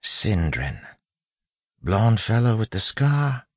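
A man voicing a sly impostor posing as a street-tough gang mate, hushed, slangy and conspiratorial, in an audiobook. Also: fairly low pitch, big pitch swings, slow, husky, no room sound, voice pushed up, exaggerated voice. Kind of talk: audiobook character acting.

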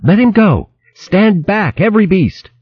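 A man's voice: on the low side, very animated, normal speed, lo-fi sound. A man voicing an impatient female squirrel warrior from a storybook, commanding and archaic in phrasing. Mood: barking orders.

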